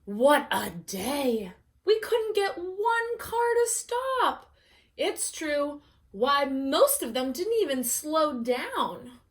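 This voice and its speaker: Woman voicing a vain, high-pitched storybook hen. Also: sing-song, very slow, heavily acted. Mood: worn out. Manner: gushing, showy, theatrical. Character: vain, excitable glamour-loving farm hens. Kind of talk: children's storybook character voice.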